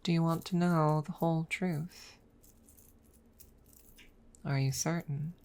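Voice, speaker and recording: A woman voicing a haughty, centuries-old dragon rival who is secretly smitten, speaking haltingly in a close-miked ASMR roleplay. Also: sits low, steady tone, a little mellow. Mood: guarded, hesitant. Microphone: close microphone.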